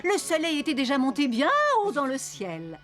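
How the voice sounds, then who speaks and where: A woman's voice: high-pitched, normal speed. Une maman conteuse animée. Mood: storytelling. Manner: storyteller, lively and expressive. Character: mother narrating a bedtime story. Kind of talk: cartoon storybook narration. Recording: voice over background music.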